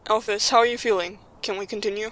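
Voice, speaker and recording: A woman playing a calm, practical, reassuring young group leader in an audio drama, with steady delivery. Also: mid-range voice, fast talker, natural voice.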